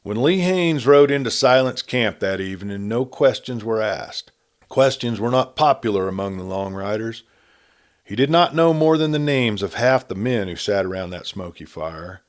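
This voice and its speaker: A man with a fairly low voice narrates an old western tale. His delivery is scripted and dramatic, with measured, expressive storytelling. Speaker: audiobook narrator and host.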